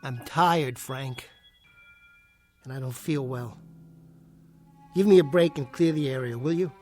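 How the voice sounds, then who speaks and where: An actor playing an edgy, weary and defensive nightclub ventriloquist in a radio play. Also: male voice, medium pitch, unhurried, darkish tone, faint music behind, lightly acted. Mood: irritated, weary.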